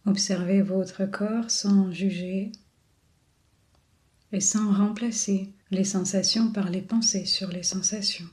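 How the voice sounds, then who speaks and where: A woman leading a guided body scan meditation in a soothing, unhurried manner with long pauses. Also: medium pitch, fairly even intonation, darkish tone. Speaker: yoga and relaxation guide.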